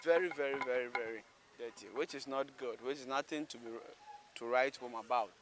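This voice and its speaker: A man's voice: medium pitch, fairly quick, noisy background. A man with a Ghanaian accent giving spontaneous on-location commentary as a presenter, repeating words and phrases for emphasis. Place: outdoors beside a railway.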